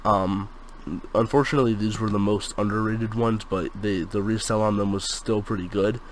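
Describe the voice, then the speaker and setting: A man's voice: on the low side, fairly even intonation, moderate pace, a little hiss or hum, low-quality recording. A young man with a cold and a congested voice, casually chatting through a sneaker unboxing, filling his talk with um and like. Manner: rambling, lots of fillers like um and like.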